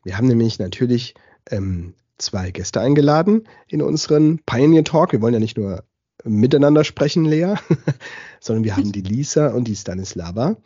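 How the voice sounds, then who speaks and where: Lockerer Moderator mit weicher, leicht rauer Stimme. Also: male voice, medium pitch, animated, moderate pace, mellow, breathy edge, no room sound, highs cut off. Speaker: podcast host. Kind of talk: casual talk moderation.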